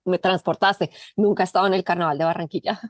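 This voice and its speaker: A woman hosting an interview podcast, speaking in a gracious, measured way. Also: on the low side, steady tone, no echo, studio quality. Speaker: podcast host.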